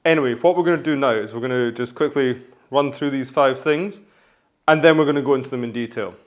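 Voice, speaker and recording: A man hosting a menswear vlog, talking casually and rambling with many restarts, his voice hoarse from the flu. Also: medium pitch, fast talker. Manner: rambling, chatty, frequent restarts. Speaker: menswear vlogger.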